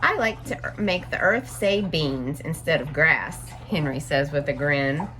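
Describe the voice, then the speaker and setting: A woman's voice: low voice, lively intonation, a little slow, a bit bright, soft background music, rough recording. A woman reading a picture book aloud to young children, adding simple explanatory asides as a story-time teacher.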